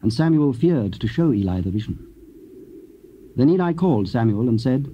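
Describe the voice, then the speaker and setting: A man's voice: medium pitch, lively intonation, moderate pace, warm tone. A man narrating scripture in a solemn, scripted style. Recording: dull, faintly noisy old cassette.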